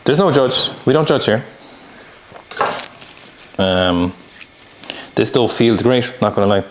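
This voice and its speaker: A man's voice: medium pitch, animated, slow pace, slight background noise, poor audio. An Irish man in his thirties explaining how to make dumplings in a home kitchen, laid-back and dry, recorded by a camera a few feet away. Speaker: cook demonstrating a recipe. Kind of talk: casual cooking demo chat.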